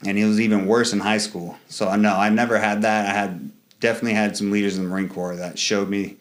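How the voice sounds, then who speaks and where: A man's voice: level tone, quick pace. A man in his thirties, a Marine veteran guest in an interview, talking casually with many fillers and restarts and acting out quoted exchanges. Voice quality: fairly high voice for a man.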